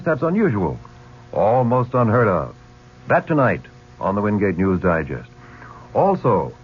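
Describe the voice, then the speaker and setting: A man's voice: medium pitch, breathy edge. A man reading a news promo as a radio host, in a punchy, emphatic style. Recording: muffled 1970s radio broadcast.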